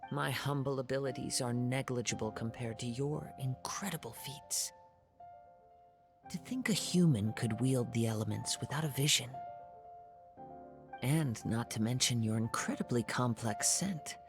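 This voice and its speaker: A man voicing a soft-spoken, contemplative young swordsman in a video game, formal and polite in his measured phrasing. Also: medium pitch, creaky. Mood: polite, curious. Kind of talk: scripted video game character dialogue. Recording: background music.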